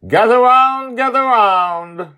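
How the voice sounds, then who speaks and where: A man voicing an excitable, determined father who proclaims like a town crier in a storybook tale. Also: very high-pitched, smooth, voice pushed up, heavily acted. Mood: calling out. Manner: loud, proclaiming, drawn-out calls.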